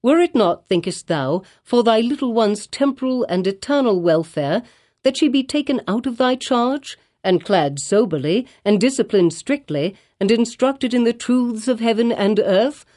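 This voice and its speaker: A woman's voice: fairly high pitch, expressive, moderate pace, warm tone, pitched above the performer's own voice, close to an everyday voice. A woman voicing a stern, pompous elderly male colonial governor in archaic speech.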